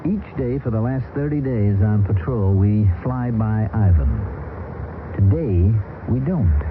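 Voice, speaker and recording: A well-read military flyer with a dry wit, probing his partner with wry questions. His mid-pitched voice moves with lively inflection. The sound is thin and phone-like, with steady hiss. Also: a little slow. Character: bookish dry-witted male flyer nicknamed Pappy, curious. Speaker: military pilot on arctic patrol. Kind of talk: 1970s radio drama acting. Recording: old radio broadcast, hissy lo-fi.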